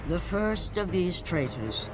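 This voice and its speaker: A man's voice: sits high, sing-song, slow pace, exaggerated voice. A man performing as a solemn mythic narrator, giving grave, portentous scripted narration for a video game. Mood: grave, ominous. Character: solemn mythic narrator of ancient Greek vengeance. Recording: loud music behind the voice. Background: loud music.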